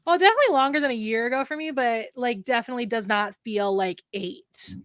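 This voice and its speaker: A woman's voice: on the high side, expressive, quick pace, no room sound, studio quality. A woman in her late thirties chatting casually as a live stream co-host, reflective and rambling as she rephrases herself. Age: late 30s. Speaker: writer co-hosting a live stream. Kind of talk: casual chat.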